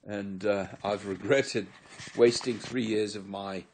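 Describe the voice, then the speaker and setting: Man, 60s, from Virginia, fake English accent, dark mellow tone, rambling earnest monologue. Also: on the low side, steady tone, slow, a little hiss or hum. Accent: put-on English. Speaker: former member giving testimony. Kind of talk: solo confessional monologue to camera.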